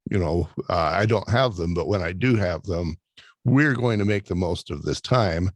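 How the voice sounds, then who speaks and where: Deep-voiced reflective male host. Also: normal speed, dry recording. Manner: reflective, encouraging interviewer. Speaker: podcast host. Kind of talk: interview hosting.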